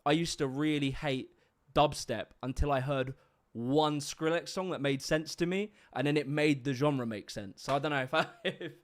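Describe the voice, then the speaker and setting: A man's voice: a little high, moderate pace. A young British man with a mixed multicultural London accent, hosting a livestream interview in a casual, rambling way with lots of fillers. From Britain. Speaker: host.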